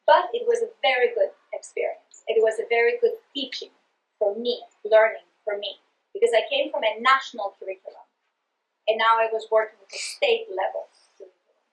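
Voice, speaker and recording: A middle-aged woman with a Greek accent speaks to a room of teachers, earnest and passionate, often restarting her phrases. Her voice sits a little high and rings in an echoey meeting room. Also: brisk. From Greece. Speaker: literacy presenter. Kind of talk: professional development talk to teachers.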